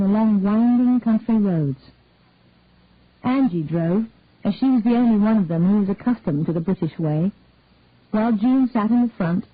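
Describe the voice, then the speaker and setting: Calm woman narrator on a tinny line. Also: medium pitch, no room sound. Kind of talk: audiobook-style story reading. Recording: dry, tinny phone-like sound.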